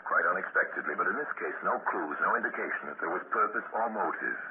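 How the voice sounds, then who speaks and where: A grave narrator for an old radio crime show, his voice very deep and measured. A loud hiss runs under the vintage broadcast. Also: muffled recording. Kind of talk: 1950s radio drama narration.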